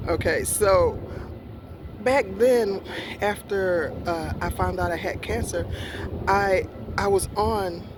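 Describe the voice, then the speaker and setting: Older lady in her sixties rambling slowly thru her story, lots of uhs, outside with wind noise. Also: medium pitch. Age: 60s. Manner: halting and rambling, many fillers, corrects herself. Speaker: vlogger. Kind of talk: casual personal storytelling. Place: outdoors in a park.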